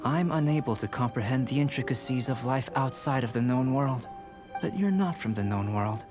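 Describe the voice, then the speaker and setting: A man voicing a composed young alchemist in a video game, speaking in a measured, precise and detached way. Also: medium pitch, over music, lightly acted. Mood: thoughtful, composed. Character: calm, analytical young male alchemist researcher.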